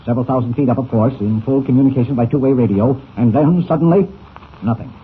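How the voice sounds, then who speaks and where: A man's voice: medium pitch, husky, phone-quality sound. A man playing a brusque older newspaper editor in 1940s radio serial style, impatient and emphatic, on an old scratchy recording. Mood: grave, intrigued. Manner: impatient, emphatic, rapid clipped phrases.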